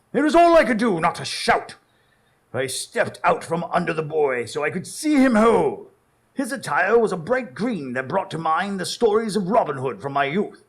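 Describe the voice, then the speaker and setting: Guy acting a literary victorian doctor narrating a radio drama, creaky voice, earnest and dramatic, lots of inflection. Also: a little high, full character voice. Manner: measured, dramatic storytelling.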